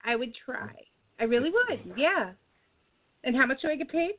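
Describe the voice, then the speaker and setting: A bubbly woman with a fairly high, childlike voice that swoops up and down as she chats and giggles. She is co-hosting a relaxed show. Her voice comes through a narrow, tinny, phone-like line. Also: normal speed, raised voice, background noise. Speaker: co-host. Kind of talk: casual podcast chat. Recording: phone-like call audio.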